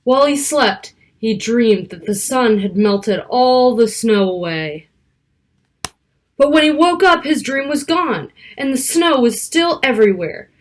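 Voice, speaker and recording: A woman reading a picture book aloud to children, expressive, with casual asides about the pictures. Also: a little high, unhurried. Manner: child-directed, expressive, stretching key words and pointing out pictures.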